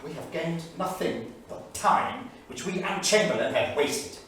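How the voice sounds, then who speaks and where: A man's voice: fairly high pitch, expressive, measured pace, a bit bright. A man playing a bitter, grieving 1938 academic, reading his journal aloud in a solemn, literary, emphatic way on stage. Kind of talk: staged dramatic reading of diary entries. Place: small studio theatre, staged reading. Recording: echoey theatre, distant mic, faint noise.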